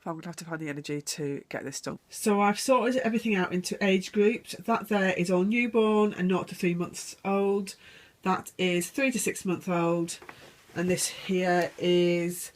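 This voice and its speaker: A woman's voice: fairly low pitch, moderate pace. A young woman vlogging at home, chatty and rambling, sounding congested from a cold. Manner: chatty, rambling, self-deprecating. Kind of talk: casual home vlog. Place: at home, indoors. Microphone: phone or camera mic.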